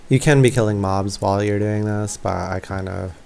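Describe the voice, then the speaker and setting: A man's voice: on the low side, fairly even intonation, moderate pace, creaky, a little mellow, clean tone. A young man giving casual, offhand, self-deprecating gameplay commentary. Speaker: gaming video creator.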